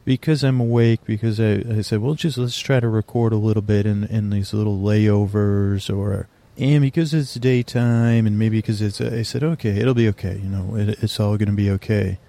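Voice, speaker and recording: A low-voiced man with a gravelly, mellow tone rambles slowly through a bedtime monologue for a sleep podcast. He drifts off topic, restarts his sentences and keeps a languid, unhurried pace. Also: fairly even intonation. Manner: languid, meandering, self-interrupting.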